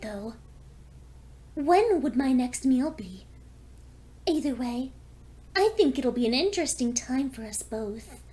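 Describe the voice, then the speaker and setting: Woman voicing a high, slow, seductive vampire mare. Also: a little mellow. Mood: teasing, hospitable. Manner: slow, courteous, teasing. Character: seductive, lonely female vampire pony, sweetly predatory. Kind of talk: fan fiction audio story acting.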